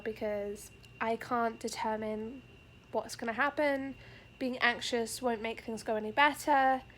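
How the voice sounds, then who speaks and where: A young pregnant woman, a little out of breath, giving an earnest, rambling update to her camera with many fillers. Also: a little high. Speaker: vlogger. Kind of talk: casual pregnancy update vlog. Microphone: camera facing the speaker.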